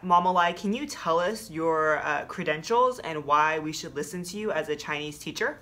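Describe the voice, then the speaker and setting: An American woman in her thirties hosts a casual lesson in a low, bright voice. She is playful and teasing as she asks questions and explains simply. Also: expressive, normal speed. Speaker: host and learner. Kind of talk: casual scripted-light language lesson chat. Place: small plain room indoors.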